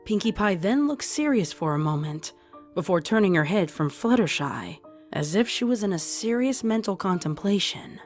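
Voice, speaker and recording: A woman's voice: medium pitch, animated, normal speed. A woman reading fan fiction aloud as scripted storytelling narration. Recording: music under the voice.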